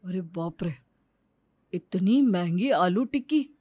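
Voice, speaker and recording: A woman's voice: on the low side, animated, slow, warm tone, slight background noise. A woman voicing a greedy male village snack seller in a children's moral story, chatty, emphatic and persuasive. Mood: amazed, grumbling.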